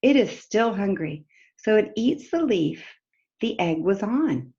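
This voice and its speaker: A woman reading a picture book aloud to young children like a teacher, pausing to explain simply and ask them questions directly. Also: medium pitch, very animated, warm tone, highs cut off. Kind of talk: read-aloud story time lesson for young children, with explanatory asides.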